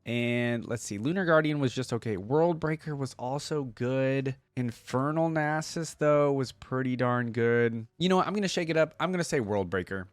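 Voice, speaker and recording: A man's voice: mid-range voice, normal speed, hardly any pauses, no room sound. A man hosting a reaction video, talking informally and thinking aloud as he comments and reads along. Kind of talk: casual reaction commentary, reading wiki text aloud.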